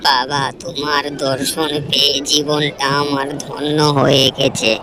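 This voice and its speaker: A man's voice: medium pitch, completely flat delivery, a little slow, lightly acted. A man voicing a humble, devout old household servant in a cartoon folk tale, speaking respectfully. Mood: reverent, humble. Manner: respectful, reverent. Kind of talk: Bengali animated folk-tale dialogue. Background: music.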